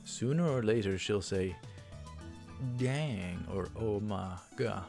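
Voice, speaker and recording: A man's voice: on the low side, expressive, takes it slowly, vocal fry, darkish tone, soft background music. A man hosting a let's play, reading visual novel text aloud and adding casual comments.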